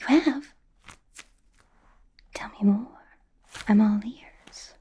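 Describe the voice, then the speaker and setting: A woman playing a chatty, suspicious young superheroine in a soft, breathy ASMR whisper, rambling through a playful interrogation. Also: a little high, extremely slow, full character voice. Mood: curious, probing. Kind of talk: ASMR roleplay, mostly whispered personal attention.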